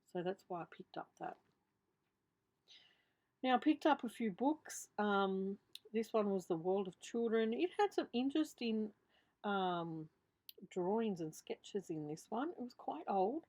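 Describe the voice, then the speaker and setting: A middle-aged Australian woman talks through her craft finds in an unscripted voiceover. Her voice sits at an average pitch for a woman, with a slight lisp. She rambles in a chatty way, trailing off and correcting herself as she goes. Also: darkish tone. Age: 50s. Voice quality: slight lisp from a missing tooth. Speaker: craft vlogger. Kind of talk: casual, rambling show-and-tell voiceover.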